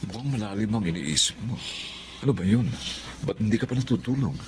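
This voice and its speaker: A man plays an earnest Filipino husband in radio drama style. His voice is on the low side, hesitant and deliberate, as if choosing words with care. The recording carries faint noise. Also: lively intonation, lightly acted. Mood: concerned, confiding.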